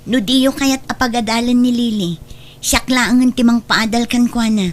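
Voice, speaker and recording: A responsible younger Filipino sister played by a woman in a radio drama, with a fairly high voice. She sounds caring and earnest, firm about helping her family. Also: fairly even intonation, moderate pace, lightly acted. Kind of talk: Ilocano radio drama acting.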